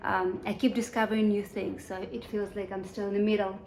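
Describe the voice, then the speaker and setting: A woman in her forties from Kazakhstan, answering interview questions in a measured, thoughtful way with many pauses and restarts. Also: medium pitch, little pitch movement, darkish tone, roomy sound, slight background noise, rough recording. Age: 40s. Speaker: guest, UN section chief.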